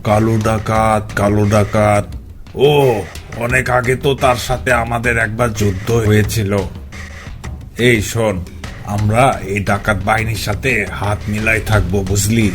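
A man's voice: on the low side, flat delivery, unhurried, music in the background, close to an everyday voice. A man voicing a greedy, scheming bandit raider in a cartoon. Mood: sly. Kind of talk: Bengali cartoon dubbing.

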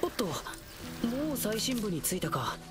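Woman acting a boyish young hero over loud music. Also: mid-range voice, sing-song, moderate pace, natural voice. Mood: cheerful, curious. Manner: boyish, earnest. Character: spirited young lion-dancer boy hero, protective and bold. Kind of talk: Japanese video game voice acting.

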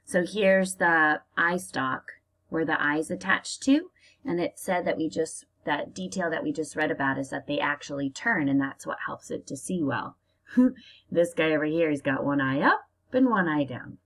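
An American woman teacher reading aloud to young children and explaining as she goes, in a deliberate, expressive manner. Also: low voice. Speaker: elementary school teacher reading aloud to pupils.

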